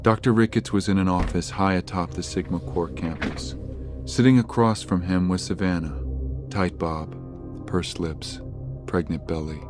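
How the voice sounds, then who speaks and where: A man narrating a scripted audio drama in a measured, ominous storytelling manner. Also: deep voice. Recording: music under the narration.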